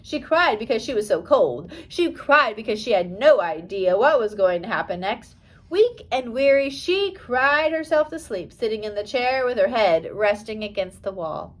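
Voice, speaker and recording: A woman's voice: a little high, very animated, moderate pace. Woman reading a storybook aloud. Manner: careful read-aloud with occasional stumbles. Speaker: audiobook reader. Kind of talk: scripted storybook narration.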